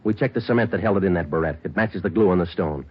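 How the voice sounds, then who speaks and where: An actor playing a calm, relentless police sergeant interrogating a suspect in a 1940s radio crime drama. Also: male voice, sits low, fast talker, slightly rough. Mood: steady. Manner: clipped, matter-of-fact questioning. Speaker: police detective. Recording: dull lo-fi old radio broadcast.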